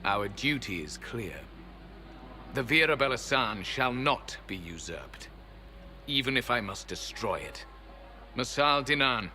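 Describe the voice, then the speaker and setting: An actor playing a solemn, proud ancient elf sentinel in a fantasy video game, speaking in a grave, formal and disdainful manner. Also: male voice, mid-range voice, animated, a little slow, sharp tone, slight background noise. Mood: stern, defiant.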